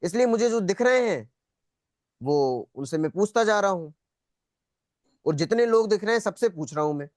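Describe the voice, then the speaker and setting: A man with a high-pitched voice teaches a spiritual class on a video call. He talks conversationally, repeating his points and asking questions to check that listeners follow. The sound is dry, with little room echo. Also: expressive, normal speed, pauses often, a little mellow. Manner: conversational, repetitive, checking understanding often. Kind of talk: interactive lecture, questioning students.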